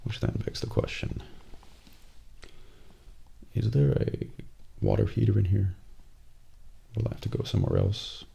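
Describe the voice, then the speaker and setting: A man playing a composed, guarded professional assassin in a soft-spoken ASMR role play, with a measured, deadpan delivery and a breathy, gravelly voice. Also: on the low side, heavily acted. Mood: calm, mild. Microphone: close binaural microphone.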